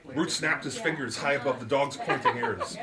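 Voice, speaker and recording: A man reading comic fiction aloud as its author, with theatrical, expressive storytelling. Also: medium pitch, normal speed, a crowd in the background. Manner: theatrical storytelling, literary phrasing. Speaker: author reading his novel.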